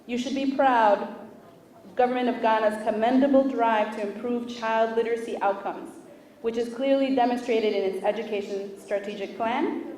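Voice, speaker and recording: A woman's voice: fairly high pitch, darkish tone. Woman giving a formal speech in an echoey hall. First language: Spanish. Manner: measured, deliberate, pauses between list items. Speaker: official speaking at a book launch. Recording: echoey room picked up from a distance.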